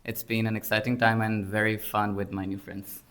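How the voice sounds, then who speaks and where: A man's voice: fairly low pitch, flat delivery, normal speed, fairly bright tone. Young Pakistani man, hesitant student speaker. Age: 20s. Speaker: master's student, podcast guest. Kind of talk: conversational panel answers.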